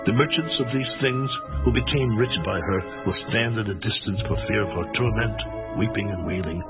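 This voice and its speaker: A man narrating a dramatized scripture reading in a solemn, measured manner. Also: lowish voice. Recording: tinny band-limited audio under loud music.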